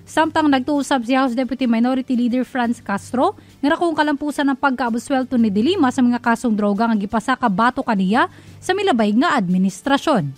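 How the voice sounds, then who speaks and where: High-pitched Filipina news anchor. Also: female voice, expressive, fairly quick. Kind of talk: scripted news bulletin reading.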